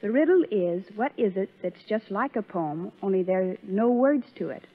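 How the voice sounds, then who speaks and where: A woman's voice: mid-range voice, lively intonation, normal speed, slightly rough. A woman playing a charming, coy young actress in a scripted 1930s radio advertising skit. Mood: coy, sweet. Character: charming young bit-part actress with a riddle. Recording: muffled, narrow 1930s radio broadcast.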